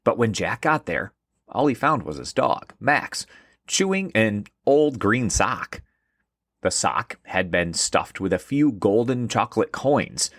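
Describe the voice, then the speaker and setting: A man hosting and narrating a scripted children's story in an expressive storytelling manner. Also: on the low side, takes it slowly, dry recording, clean studio sound. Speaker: host and narrator.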